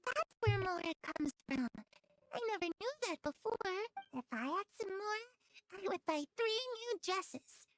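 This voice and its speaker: A woman's voice: extremely high pitch, lively intonation, unhurried, background noise. A woman voicing a sweet, sheltered, curious young girl in a video game, with a light childlike voice and an earnest, dreamy manner. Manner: earnest, dreamy, gently playful.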